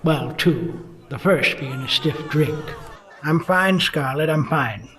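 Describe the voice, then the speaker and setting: An actor playing a weary, wisecracking 1950s police detective in a hardboiled noir radio play, narrating with wry fatigue. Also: male voice, fairly high pitch, animated, slow pace, a bit bright, reverberant. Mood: brooding, wry.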